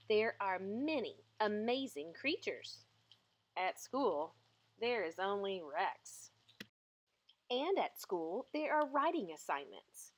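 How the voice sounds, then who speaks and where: A woman reading a children's picture book aloud in an expressive, deliberate storytelling manner. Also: medium pitch. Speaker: storybook reader.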